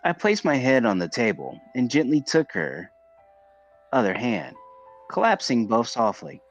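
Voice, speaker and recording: A man's voice: medium pitch, very animated, measured pace, soft background music. A man reading visual novel narration aloud as a streamer, occasionally stumbling and rereading words.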